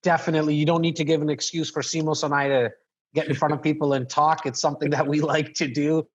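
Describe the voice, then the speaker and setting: A man's voice: fairly high pitch, level tone, fast, muffled recording. A man co-hosting a video call panel, enthusiastic and prone to repeating himself as he restarts sentences. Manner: enthusiastic, repetitive, stumbling restarts. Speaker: co-host.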